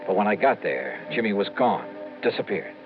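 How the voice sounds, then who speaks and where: Wry radio detective. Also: male voice, on the low side, very animated, over music. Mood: narrating. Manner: wry, brisk first-person narration. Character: wry insurance investigator, adult man. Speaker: lead actor and narrator. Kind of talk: 1950s radio drama acting. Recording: noisy phone-like old radio broadcast.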